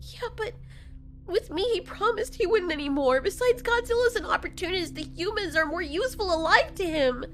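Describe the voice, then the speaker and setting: A woman voicing a compassionate, proud giant moth queen in an audio story, pleading and indignant, with emotional, halting speech. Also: very high-pitched, lively intonation, pitched above the performer's own voice. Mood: pleading, insistent.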